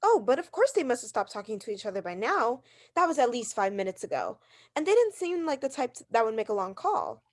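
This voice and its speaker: A woman's voice: a little high, very animated, brisk. A woman acting a neurotic, demanding, haughty invalid wife in a radio mystery drama, agitated and insistent. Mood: anxious, insistent.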